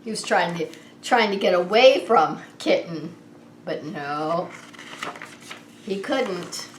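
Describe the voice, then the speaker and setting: A woman reading a picture book aloud to young children as a preschool story time host, expressive and unhurried, drawing the listeners in. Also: fairly low pitch. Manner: animated, unhurried, asks the child listeners questions. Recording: roomy echo with faint background noise.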